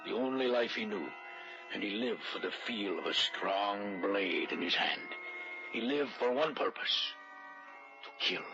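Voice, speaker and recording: An actor playing a solemn male storyteller recounting a dark legend in deliberate, formal phrases, in the manner of 1940s radio suspense drama. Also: on the low side. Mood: ominous. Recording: muffled, very noisy old radio recording.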